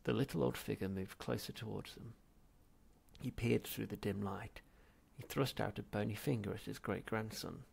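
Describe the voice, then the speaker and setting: A man narrates a story from a written script. His voice sits a little low, with very heavy creak and a husky, rough grain. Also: fairly even intonation, moderate pace, darkish tone. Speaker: audiobook reader.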